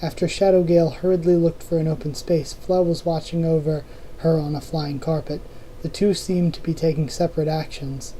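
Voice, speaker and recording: Hoarse reader, very dark mellow tone, casual novel readthrough, restarts and asides. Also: medium pitch, flat delivery, normal speed, slight background noise, low-quality recording. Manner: informal reading with restarts and self-corrections. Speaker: channel host reading a novel aloud.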